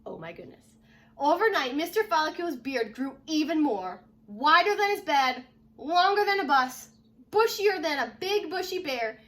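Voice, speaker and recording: A woman's voice: high-pitched, normal speed. A woman reading a children's storybook aloud as a teacher would, expressively, leaning on key words. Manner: expressive, stresses repeated words, asks listeners questions.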